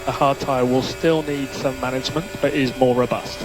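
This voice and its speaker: A man working as a race engineer, giving measured, clipped instructions over team radio and repeating key calls. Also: mid-range voice, fairly even intonation. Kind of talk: instructional radio messages to a racing driver. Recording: noisy motor racing team radio.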